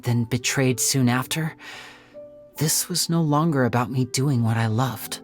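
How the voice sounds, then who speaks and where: An actor voicing a young male stage magician in a video game, gentle, polished and guarded, with a theatrical manner. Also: medium pitch, close to an everyday voice. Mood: wistful. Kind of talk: video game character acting. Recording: faint music behind the voice.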